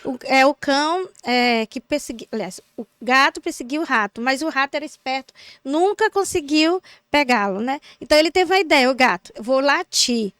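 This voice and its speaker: A middle-aged woman, an English teacher chatting as a podcast guest, telling stories in a lively, teasing way. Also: high voice, moderate pace, dry recording. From Teresina, Brazil. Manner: animated storyteller, teasing. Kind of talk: casual podcast chat. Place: studio.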